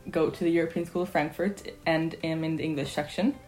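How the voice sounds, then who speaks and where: A teenage girl, a native Italian speaker, answering interview questions casually and thinking aloud with many pauses. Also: deep voice, steady tone, darkish tone, soft background music. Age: teens. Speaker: student guest. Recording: echoey room.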